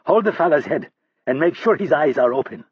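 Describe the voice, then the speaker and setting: A man voicing a menacing militia leader who taunts his captive with slow threats, in an audiobook. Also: on the high side, expressive, breathy edge, lo-fi sound, pitched above the performer's own voice. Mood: gloating. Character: menacing Palestinian militia leader, cruel and mocking.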